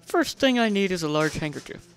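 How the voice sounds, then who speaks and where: An overdramatic young pony hero, acted by a story narrator, pitched up and clear. The delivery is bold and exclamatory, storybook-style, over faint music. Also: expressive, brisk, natural voice, poor audio. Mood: eager, commanding. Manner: exclamatory, theatrical.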